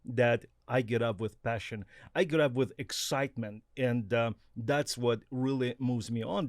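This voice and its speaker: A man hosts a casual interview in a mid-pitched voice. He speaks haltingly, pausing and restarting as he looks for the right words in a language that is not his first. The recording is dry. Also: dry recording. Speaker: podcast host.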